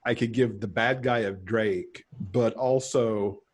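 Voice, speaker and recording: A man's voice: lowish voice, slow. A middle-aged man, a guest author, chatting casually about writing over a video call from home.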